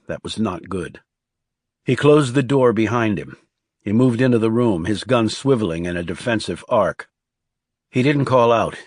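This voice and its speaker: A man's voice: lowish voice, darkish tone, breathy edge, no room sound, clean studio sound. A man reading scripted thriller narration as an audiobook narrator.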